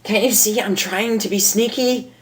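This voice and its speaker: A man's voice: high voice, fairly even intonation, moderate pace, pitched above the performer's own voice, close to an everyday voice. A man voicing a nervous, lovestruck, brash young female cartoon pony with a flustered, stammering delivery. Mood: flustered, sneaky.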